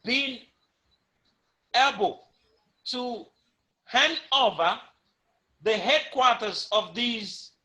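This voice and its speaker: A man's voice: extremely high pitch, expressive, very slow, pauses often, bright, forceful tone. A man giving an impassioned talk on a talk show, with an emphatic, preaching cadence and much repetition. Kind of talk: impassioned speech to camera.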